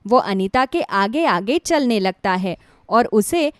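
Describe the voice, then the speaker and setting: A woman's voice: mid-range voice, lively intonation, slow pace, no room sound, studio quality. A woman narrating a scripted moral story as a storyteller.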